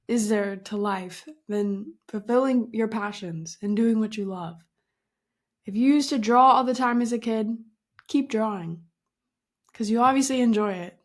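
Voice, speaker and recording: A woman of twenty-two hosting her own podcast, chatting casually with giggles, emphatic pep talk and mild swearing. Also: on the high side. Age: 20s. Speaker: podcast host.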